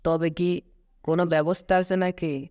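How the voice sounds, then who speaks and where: A man's voice: fairly high pitch, flat delivery, normal speed, a little mellow, smooth, dry recording, studio quality, lightly acted. A man playing an easygoing young traveller who shrugs off worries in a ghost-story cartoon. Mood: unbothered. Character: easygoing young male traveller, unbothered by spooky rooms.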